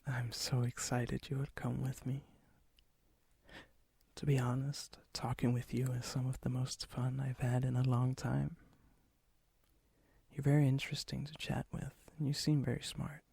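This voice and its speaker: A man playing a lonely, gently teasing vampire in an ASMR roleplay, with a soft, breathy voice that often drops into a whisper. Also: mid-range voice, little pitch movement, no echo, exaggerated voice. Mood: shy, friendly. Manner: slow, intimate, hesitant, gently teasing.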